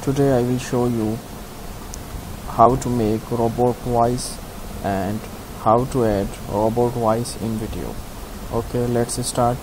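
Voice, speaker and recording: A man giving a step-by-step software tutorial in simple, halting instructions. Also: medium pitch, fairly even intonation. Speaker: tutorial host. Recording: clear background hiss.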